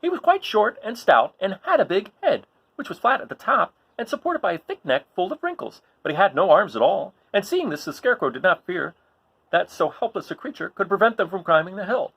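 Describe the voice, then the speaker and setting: A man reading a children's story aloud in an expressive style, as the host of a read-along. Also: high-pitched, brisk, vocal fry, husky.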